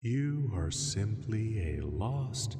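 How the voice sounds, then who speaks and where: A huge ancient dragon, acted by a man, speaks low and extremely slowly, with long reverb around every phrase. The manner is lordly yet hushed and protective, made to soothe in a sleep-aid roleplay. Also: very animated, faint music behind, full character voice. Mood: calm, musing. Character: huge ancient male dragon, calm, protective, gently possessive.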